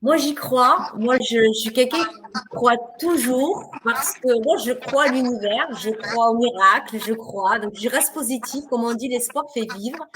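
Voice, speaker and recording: A woman's voice: on the high side, a little slow, a crowd in the background, poor audio. A woman from France speaking on a video call as a webinar panelist, insistent and emphatic, hammering points home with repetition. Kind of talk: passionate webinar discussion.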